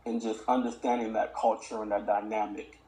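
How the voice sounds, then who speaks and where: Low, flat-voiced young man, reflective. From born in Uganda, raised in the Washington DC area. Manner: measured, reflective, frequent um fillers. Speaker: podcast guest. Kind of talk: casual interview talk.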